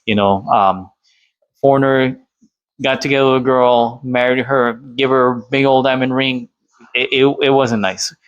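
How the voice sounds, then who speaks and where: A man's voice: mid-range voice, fairly even intonation, unhurried, dry recording, lo-fi sound. Rambling Colombian-born male commentator. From Colombia, Cali. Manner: rambling, many fillers and restarts. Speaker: channel host reacting to a clip. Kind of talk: casual opinionated commentary.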